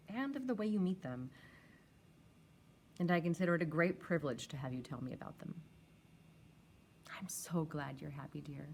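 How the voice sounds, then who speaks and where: A woman giving a dramatic reading of a letter, deliberate and expressive, with emphatic phrasing. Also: sits low, gravelly, slight background noise. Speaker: actor reading historical letters. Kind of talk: dramatic reading of a letter at a live theater event.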